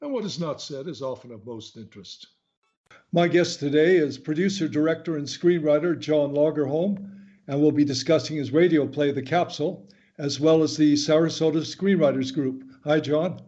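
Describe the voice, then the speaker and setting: A man's voice: a little high, normal speed, dark tone, muffled recording. A man hosting a radio talk show, reading a formal introduction of his guest and then asking questions. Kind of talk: hosted radio interview.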